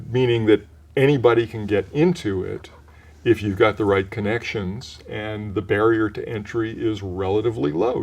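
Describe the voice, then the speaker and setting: An older man, a retired professional turned voice actor, with a mellow voice, answering interview questions in a measured, explanatory way with frequent restarts. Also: fairly low pitch. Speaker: voice actor guest.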